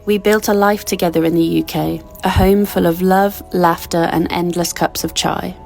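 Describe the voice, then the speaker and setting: A woman giving a soft, scripted storytelling narration. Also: on the low side, steady tone, normal speed, vocal fry, soft background music.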